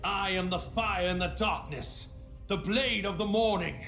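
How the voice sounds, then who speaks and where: An actor playing a bold avenging hero in a video game, declaiming grandly. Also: male voice, high voice, a little slow, a bit bright. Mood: grandiose. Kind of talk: video game character acting. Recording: roomy sound with faint music.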